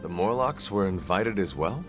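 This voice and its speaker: Game lead: low, stoic, formal hero, supportive, with a long reverb on the voice. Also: male voice, expressive, music in the background. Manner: formal, measured, few contractions. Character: stoic male demon-tempted hero, reserved and supportive. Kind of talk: video game dialogue acting.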